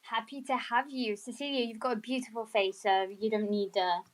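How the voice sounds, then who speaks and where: Upbeat woman host with a brightish high voice, chatty and welcoming on a live audio stream. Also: fairly even intonation, fairly quick. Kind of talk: casual live audio chat. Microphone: remote audio stream.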